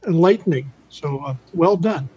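Husky higher-voiced man on muffled call. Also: moderate pace, mellow. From Canada. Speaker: meeting participant. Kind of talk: casual spoken reflection. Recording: dull lo-fi online call audio.

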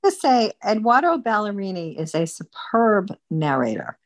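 A woman's voice: medium pitch, sing-song, moderate pace. A woman talking casually as a member of a book group, in an informal conversational style.

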